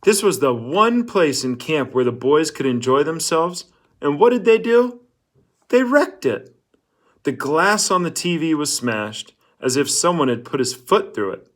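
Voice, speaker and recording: A man's voice: fairly high pitch, very animated, unhurried. A man reading a novel aloud as scripted storybook narration. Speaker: audiobook-style reader.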